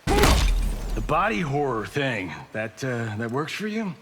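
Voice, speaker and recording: An actor playing a cocky, wisecracking Hollywood action star in video game banter, flippant and boastful. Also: male voice, mid-range voice, big pitch swings, takes it slowly. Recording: long reverb, faint background noise.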